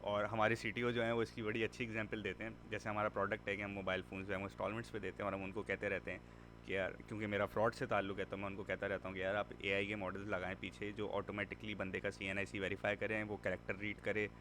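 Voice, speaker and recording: A man's voice: on the low side, flat delivery, fast talker, a bit bright, a little hiss or hum. A man teaching a machine learning class in a conversational way, mixing in English words and repeating points for emphasis. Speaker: instructor. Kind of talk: classroom lecture.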